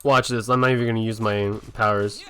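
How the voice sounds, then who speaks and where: A man's voice: fairly low pitch, level tone, a little fast, bright, forceful tone, smooth. A man giving casual, slangy commentary while playing a video game. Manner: slangy, offhand. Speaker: gamer doing a playthrough. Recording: faint game music and keyboard clatter. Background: game audio, keyboard typing.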